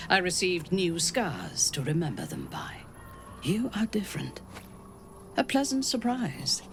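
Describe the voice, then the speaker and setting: A woman playing a proud, vengeful, long-lived dark elf noblewoman in a video game, speaking in a measured, guarded, formal manner. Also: rumbling low, full character voice. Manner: measured, deliberate, grave, formal phrasing. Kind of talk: dramatic video game acting. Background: background music.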